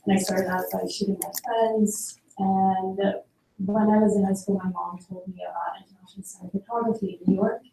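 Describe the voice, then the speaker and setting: A woman's voice: medium pitch, steady tone, a little fast, vocal fry, warm tone. A young woman from Sweden, a photographer, talking reflectively about her path into the work, with frequent fillers. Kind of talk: personal talk about her career. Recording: echoey hall, heard from a distance.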